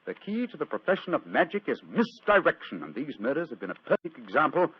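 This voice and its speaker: British gentleman sleuth, theatrical, precise, very lively intonation, muffled vintage radio recording. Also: on the high side, fast talker, husky, exaggerated voice. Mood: triumphant explaining. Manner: urbane, precise, theatrical, self-assured. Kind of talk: 1940s radio acting. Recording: dull, noisy old radio recording.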